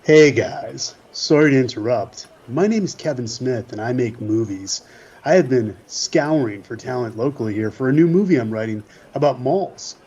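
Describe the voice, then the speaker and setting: Casting: easygoing filmmaker played by a man, mid pitch, husky, friendly, audio-play comedy, slightly muffled. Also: animated, normal speed, darkish tone. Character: friendly, casual indie filmmaker scouting talent.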